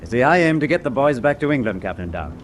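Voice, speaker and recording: An actor playing a defiant, plain-spoken British merchant navy officer held prisoner in a radio drama. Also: male voice, mid-range voice, sing-song, fast, a little hiss or hum, close to an everyday voice. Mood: firm, protesting.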